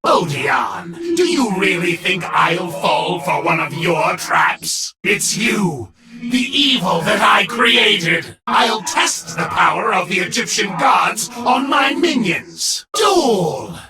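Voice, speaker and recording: A man's voice: high voice, big pitch swings, takes it slowly, vocal fry, raised voice, recorded from a distance, over music, exaggerated voice. A man playing an arrogant, menacing young villain in an anime card-duel game, theatrical and imperious. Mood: sneering. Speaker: voice actor. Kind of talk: English anime dub game character lines.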